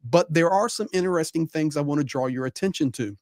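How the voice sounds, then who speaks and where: A man's voice: fairly high pitch, fast talker, a little mellow, no room sound, studio quality. A man giving conversational live political commentary as a panel guest, repeating phrases for emphasis.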